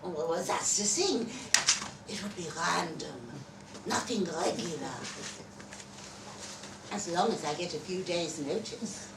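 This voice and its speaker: Woman playing an excitable Frenchman scientist, echoey room. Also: lowish voice, takes it slowly, pauses often, voice pushed down. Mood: persuasive. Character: excitable Frenchman, a lovestruck scientist hatching a plan. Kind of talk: live dramatic short story reading. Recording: roomy, distant festival mic with background noise.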